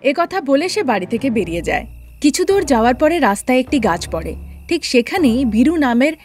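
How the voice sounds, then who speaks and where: High-voiced woman narrating over music. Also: very animated, brisk, a little mellow. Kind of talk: scripted storytelling for a cartoon. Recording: music bed under the voice.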